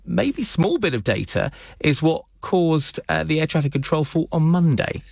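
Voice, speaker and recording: A man's voice: a little high, lively intonation, normal speed, gravelly, a little mellow, no room sound, clean studio sound. A British man presenting radio news and interviewing live, with hesitant, wordy questioning.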